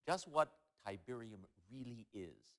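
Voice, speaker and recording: A man's voice: mid-range voice, expressive, a little slow, natural voice. An actor playing a flustered male television interviewer in a game cutscene, asking questions with a stammer. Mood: puzzled.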